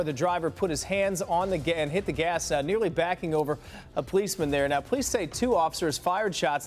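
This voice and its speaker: An American man reading the news as a morning television anchor. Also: a little high.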